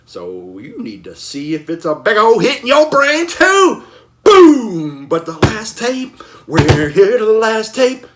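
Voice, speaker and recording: A man with a forceful, bright voice hosts in a casual vlog style. His pitch swings widely as he talks in a folksy, slangy way, excitable and quick to exclaim. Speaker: host of a collecting show. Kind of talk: casual enthusiastic vlog chat.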